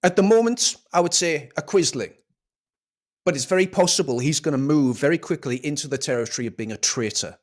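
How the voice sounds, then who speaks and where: A man's voice: fairly high pitch, animated, fairly quick. A man giving a scornful, swearing rant of political commentary.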